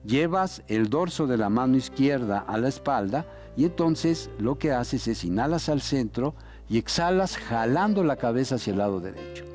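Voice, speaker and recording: Calm Mexican yoga instructor, man. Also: mid-range voice. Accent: Mexican Spanish. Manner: calm, step-by-step cueing of breath and movement. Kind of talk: guided exercise instruction.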